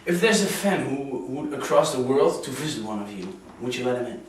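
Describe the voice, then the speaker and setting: A man with a Dutch accent asks interview questions, pausing as he hunts for the right English words. His voice sounds distant from the mic and bounces around a very echoey room. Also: medium pitch, slight background noise. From Netherlands. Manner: hesitant, searching for words. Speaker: fan interviewer.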